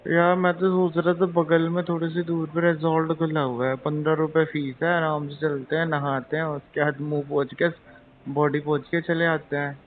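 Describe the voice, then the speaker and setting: A man's voice: a little high, flat delivery, normal speed, natural voice. A man playing a teasing, penny-pinching young husband-to-be chatting casually and hesitantly on a phone call. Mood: joking, reluctant. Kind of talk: acted intimate phone-call drama in casual chat. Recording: tinny phone line, faint noise.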